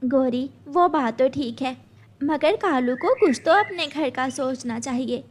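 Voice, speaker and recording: Lady doing a helpful little bird neighbour in a cartoon, caring, high lively voice, noisy behind. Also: unhurried. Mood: concerned. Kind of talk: Hindi cartoon story voice acting.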